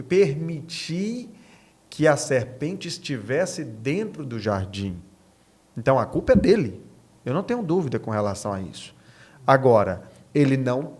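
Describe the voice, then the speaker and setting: A man's voice: mid-range voice, a little mellow, husky. A Brazilian man, a pastor, talking as an interview guest in a didactic, preaching way, repeating key phrases for emphasis.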